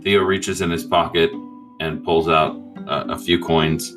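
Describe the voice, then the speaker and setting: A man's voice: low voice, little pitch movement, measured pace, fairly bright tone, distant mic, soft background music. A man playing a tabletop game, casually describing what his character does from slightly off the microphone.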